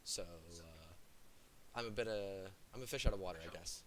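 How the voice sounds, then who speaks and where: A hoarse young man chatting as an esports caster, self-mocking and chatty before the match. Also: low voice, moderate pace, a bit bright, slight background noise. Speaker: esports caster and stream operator. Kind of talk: casual pre-game esports commentary.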